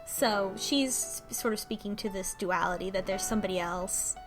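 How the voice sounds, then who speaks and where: A woman's voice: medium pitch, moderate pace, over music, low-quality recording. A woman in casual podcast conversation, recapping a story as a panelist and acting out the lines as she goes.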